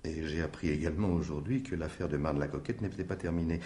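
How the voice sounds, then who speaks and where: An actor playing a polite, quietly insistent male stranger in a French radio mystery in 1950s style, measured and formal. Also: rumbling low, slightly rough. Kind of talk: French radio drama acting in 1950s manner.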